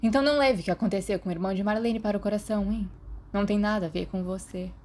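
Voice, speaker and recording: A woman with a Brazilian Portuguese accent voicing a lively, teasing teenage girl in a podfic reading. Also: medium pitch, very fast, slight background noise, lightly acted. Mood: reassuring.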